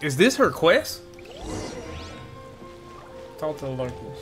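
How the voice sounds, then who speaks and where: A woman's voice: low voice, very animated, slow pace. A woman giving casual playthrough commentary as she reacts to a video game. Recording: game music behind the voice.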